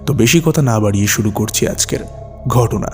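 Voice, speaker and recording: A man narrating stories as a horror podcast host, in a measured, deliberate and dramatic way with pauses between short phrases. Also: fairly low pitch, a little mellow. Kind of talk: slow dramatic storytelling narration. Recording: long reverb with music behind. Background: background music.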